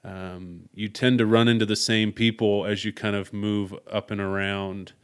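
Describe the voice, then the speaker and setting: A man, hosting a podcast interview and telling stories in a loud, outgoing way. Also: lowish voice, little pitch movement, moderate pace, smooth. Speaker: podcast host. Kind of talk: casual conversational interview.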